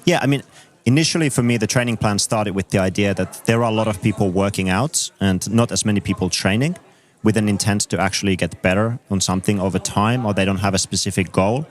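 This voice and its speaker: A man in his thirties from Finland with a Finnish accent, a coach speaking as a guest in an interview and explaining his ideas in a measured, thoughtful way. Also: lowish voice. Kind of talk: conversational interview.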